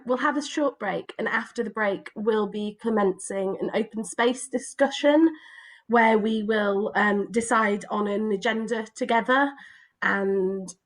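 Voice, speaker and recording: A woman hosting an online event on a video call, slightly hoarse with a cough, hesitant with many ums. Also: medium pitch. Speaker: event host.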